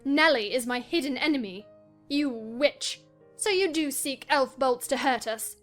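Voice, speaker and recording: A woman's voice: high voice, lively intonation, measured pace. A woman voicing a passionate, delirious, headstrong young wife with a scornful, commanding, dramatic manner in a classic novel reading. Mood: furious. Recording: music behind the voice.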